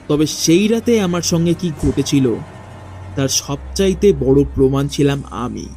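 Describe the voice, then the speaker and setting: A man narrating a first-person ghost story in a dramatic, suspenseful way with deliberate pauses. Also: mid-range voice, mellow. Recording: faint music behind the voice. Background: faint background music.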